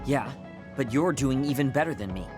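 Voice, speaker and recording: A man's voice: mid-range voice, expressive, moderate pace, music in the background, lightly acted. A young man playing a proud, insecure platoon fighter in an anime game dub, speaking in a brusque, intense, self-critical way. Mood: guarded, demanding.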